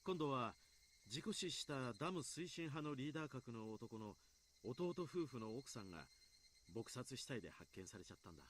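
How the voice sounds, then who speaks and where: A man's voice: mid-range voice, animated, fast, slight background noise, natural voice. A man voice-acting in a Japanese visual novel, playing a friendly, chatty adult who recounts grim incidents with dramatic storyteller emphasis. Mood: intrigued, ominous.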